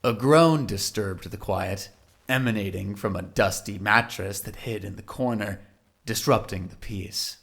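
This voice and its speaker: A man's voice: fairly low pitch, measured pace, creaky, fairly bright tone. A man narrating a scripted audio drama in a literary storytelling style.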